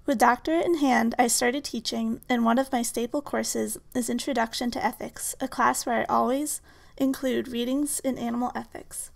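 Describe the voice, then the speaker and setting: Female professor, middle-aged, scripted tribute delivery, heavy vocal fry, slightly high register. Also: steady tone, moderate pace. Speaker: philosophy professor.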